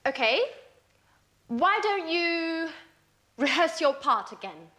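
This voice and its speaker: An actress playing a patient but easily exasperated woman friend in a scripted sitcom for language learners, speaking deliberately and expressively. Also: extremely high pitch, big pitch swings, bright, forceful tone, heavily acted. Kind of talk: slow, clear scripted sitcom dialogue for learners.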